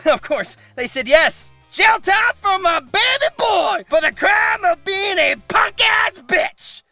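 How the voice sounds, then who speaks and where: A man hosting scripted comedic commentary in an irreverent, theatrical, sweary way with shouted emphasis. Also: very high voice, no echo. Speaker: host and narrator of a comedic manga video.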